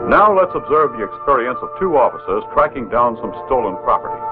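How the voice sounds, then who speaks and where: An American man narrating a 1960s instructional film script in a formal, authoritative, measured way. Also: mid-range voice, big pitch swings, music in the background. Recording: tinny narrow-band old film soundtrack.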